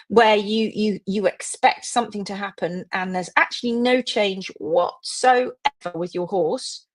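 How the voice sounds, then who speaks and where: Woman, live stream host, chatty, conversational, a bit croaky. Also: mid-range voice. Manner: chatty, greets viewers by name. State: slightly croaky voice. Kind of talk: live stream chat to viewers.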